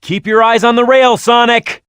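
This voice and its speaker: A man's voice: very high-pitched, steady tone, moderate pace, a bit bright, clear, resonant tone, no room sound, clean studio sound. A man voicing a tough, hot-headed echidna brawler in a video game, proud and blunt in manner. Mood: fired up.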